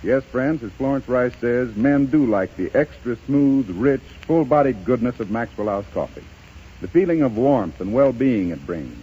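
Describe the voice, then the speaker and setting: A man working as a radio announcer, delivering a scripted 1930s sponsor pitch. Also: expressive, moderate pace, breathy edge. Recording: muffled, noisy 1930s radio broadcast.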